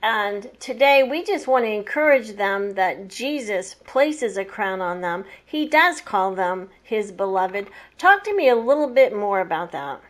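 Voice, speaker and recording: A woman's voice: medium pitch, lively intonation, a little slow, hardly any pauses. A woman hosting a faith talk show, encouraging her audience and prompting her guest to say more.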